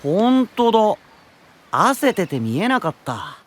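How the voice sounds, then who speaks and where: A bright, easygoing young knight for an anime audio drama. He sounds high-pitched and very animated, chatting with open curiosity. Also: slow pace, slight background noise, full character voice. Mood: curious. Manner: casual, open, exclamatory.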